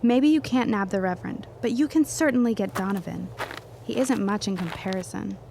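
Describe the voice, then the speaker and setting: A woman's voice: medium pitch, brisk, creaky, background noise, natural voice. A woman playing a wry, strong-willed young woman in a period audio drama. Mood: pointed.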